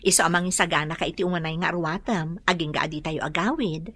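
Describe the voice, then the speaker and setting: A woman's voice: on the low side, quick pace, fairly bright tone, slightly rough, no echo. Weary, reluctant young mother. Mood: instructing. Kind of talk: Ilocano radio drama acting.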